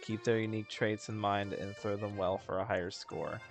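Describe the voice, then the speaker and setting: A man's voice: lowish voice, fairly even intonation, normal speed, vocal fry, soft background music. A man casually commenting over a video game he is playing, bored and sarcastic, dismissive of what is on screen. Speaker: gaming streamer. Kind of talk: casual let's-play commentary.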